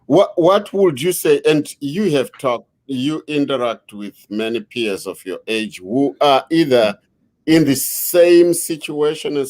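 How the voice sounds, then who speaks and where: A middle-aged man hosting an interview, a father of three, speaking deliberately with frequent fillers as he frames his questions. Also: medium pitch, a little mellow. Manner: slow, deliberate, with many fillers. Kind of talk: conversational interview.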